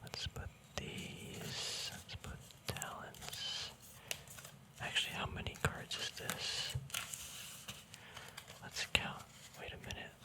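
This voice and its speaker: A man whispers throughout, with no real voice behind the breath. He chats in a relaxed, informal ASMR manner, rambling softly as he handles trading cards. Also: slow, long pauses. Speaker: ASMR card game vlogger.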